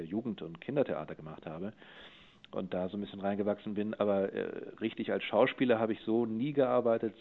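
A man talking casually in an interview as a voice actor, explaining things thoughtfully and in detail. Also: low voice. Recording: tinny, phone-like narrow line.

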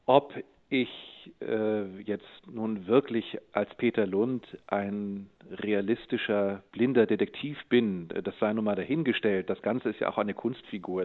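A middle-aged man with a fairly low voice comes through a thin, phone-like line. He answers interview questions as a voice actor, in a conversational, storytelling way.

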